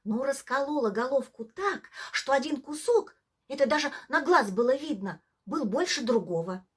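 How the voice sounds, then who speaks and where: A woman narrating a bedtime folk tale for children, theatrically, with hushed drops at the ends of phrases. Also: high voice, expressive, normal speed, slightly rough. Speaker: storyteller, theatre actress. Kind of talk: expressive scripted bedtime story narration for children.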